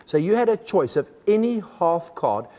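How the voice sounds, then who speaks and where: A German-born man hosts a magic show on television and keeps up a stream of jokes while he works the cards. His voice sits a bit high and swings widely in pitch. A long studio reverb hangs behind him. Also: sing-song, warm tone. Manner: chatty, jokey, with quick laughs. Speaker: TV magician host. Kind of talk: comic magic show patter. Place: TV studio with audience.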